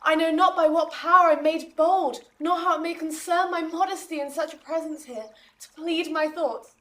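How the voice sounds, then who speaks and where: An actress playing a defiant young maiden in a Shakespearean audio drama, speaking earnestly and formally. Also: female voice, very high-pitched, fairly even intonation, normal speed, a bit bright. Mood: earnest pleading. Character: defiant young maiden pleading for her love. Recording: distant from the microphone.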